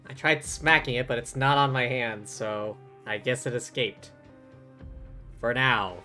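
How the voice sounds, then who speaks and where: A man gives casual reactions as he livestreams a game. His voice is mid-pitched and bright, and he drops offhand asides. There is faint music in the background. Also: steady tone, a little slow.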